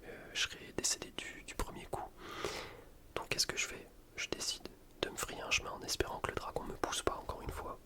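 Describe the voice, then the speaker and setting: A man speaks in a soft, breathy whisper with no voicing at all. He narrates gameplay in an ASMR style, rambling informally and poking fun at himself. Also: fairly quick. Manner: informal, rambling, self-deprecating. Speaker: gaming video creator.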